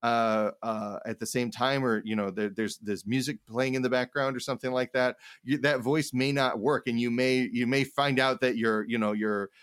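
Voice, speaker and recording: A man co-hosting a casual advice chat about game mastering, halting and rambling as he thinks aloud. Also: mid-range voice, fairly even intonation, fairly bright tone, clear, resonant tone, dry recording, clean studio sound. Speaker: podcast co-host and game master.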